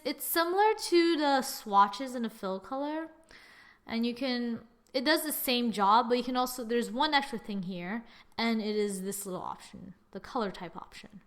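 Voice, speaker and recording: A young woman with a fairly high voice walks through a screen-recorded software lesson. She chats casually and thinks aloud as she works, teasing her own results. It sounds like a computer microphone at a desk. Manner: chatty, self-mocking, thinks aloud while clicking. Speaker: software tutorial presenter.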